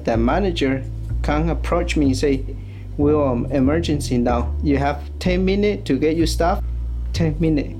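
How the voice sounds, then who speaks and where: A man from Oaxaca, Mexico, whose first language is Triqui, speaking with a Mexican Spanish accent as a community worker giving an interview in halting, simple phrases. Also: fairly high pitch, mellow, faint music behind.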